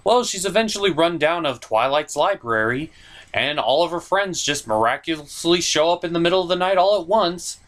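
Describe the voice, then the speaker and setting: A man's voice: on the high side, lively intonation, moderate pace, fairly bright tone. A man giving scripted, comedic review commentary with theatrical, mock-incredulous emphasis.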